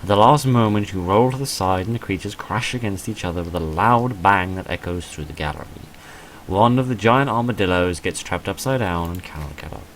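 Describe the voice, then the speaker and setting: A low-pitched man narrates a text-based game, switching between reading aloud and offhand commentary. His asides are wry and self-deprecating. The recording carries noticeable background noise. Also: brisk, a bit bright, low-quality recording. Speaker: gaming video narrator reading a visual novel aloud.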